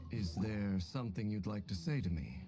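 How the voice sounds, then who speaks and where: A man's voice: lowish voice, lively intonation, normal speed. A man playing a stoic, formal and enigmatic wandering swordsman in a video game, with a dry manner. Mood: dry, reserved. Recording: clear music behind the voice.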